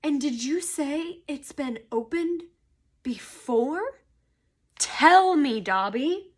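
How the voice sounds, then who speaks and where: A woman's voice: high-pitched, expressive, takes it slowly, pitched above the performer's own voice. A woman voicing a boy hero in a storybook, fierce and insistent, with an urgent, indignant way of questioning.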